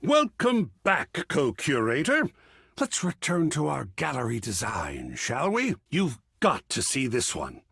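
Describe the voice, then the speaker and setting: Philosophical, effusive male art curator for a video game: hugely animated pitch, unhurried, dramatic musing delivery. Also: gravelly, husky, dry recording, clean studio sound, full character voice. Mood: warm, eager. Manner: savoring, dramatic, refined phrasing with musing pauses. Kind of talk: theatrical video game character dialogue.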